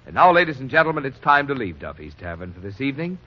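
A man's voice: mid-range voice, very animated, fast talker. A man announcing in the polished sales style of a 1940s radio commercial. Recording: dull 1940s radio recording.

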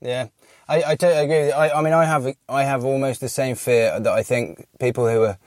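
A man's voice: mid-range voice, fairly even intonation, fast, a little mellow, no echo, studio quality. A man hosting a casual conversation, often restarting his sentences. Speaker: host.

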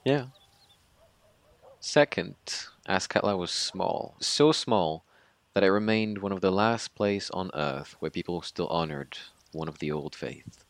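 Deep-voiced, haunted young survivor. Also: male voice, no echo. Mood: wry storytelling. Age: 20s. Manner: halting, informal, sweary, dramatic storyteller. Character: young Mexican man, haunted sweary survivor telling his tragedy. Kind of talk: confessional monologue in an audio drama.

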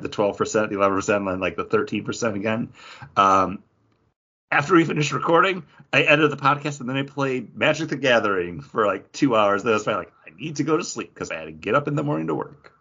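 A man's voice: on the low side, a bit bright, dull audio. A man co-hosting a podcast in casual, jokey conversation with friends. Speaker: podcast co-host and editor. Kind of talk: casual chat about beer and the show.

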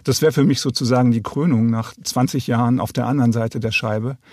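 A man's voice: mid-range voice, steady tone, brisk, darkish tone, no room sound, studio quality. A middle-aged man chatting as an interview guest, with a wry, self-deprecating way of joking. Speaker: interview guest, audiobook director and author. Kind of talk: casual conversational interview.

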